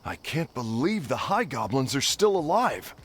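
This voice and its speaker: Husky, animated explorer. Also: male voice, mid-range voice, moderate pace. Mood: amazed. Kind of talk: video game cutscene voice acting.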